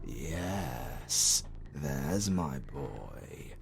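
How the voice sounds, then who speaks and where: An actor with a British accent playing a pompous, melodramatic retired dark lord in a comedy audio roleplay, husky and easily outraged. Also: male voice, a bass voice, big pitch swings, very slow, a little hiss or hum, heavily acted. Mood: menacing, summoning. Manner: grandiose, melodramatic, sardonic.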